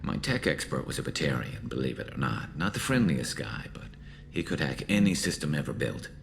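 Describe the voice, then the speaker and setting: A haunted, vengeful alien mercenary in a video game. The voice sits extremely low with a heavy gravelly creak and a metallic flanged edge. The delivery is measured, dry and brooding, over faint background ambience. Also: male voice, big pitch swings, slight background noise, heavily acted. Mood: weary, reflective. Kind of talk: video game dialogue acting.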